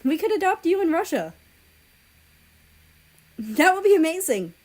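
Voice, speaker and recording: A woman voicing a friendly, eager schoolgirl in an expressive way for a story reading. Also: very high-pitched, a little slow, voice pushed up, heavily acted. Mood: cheerful.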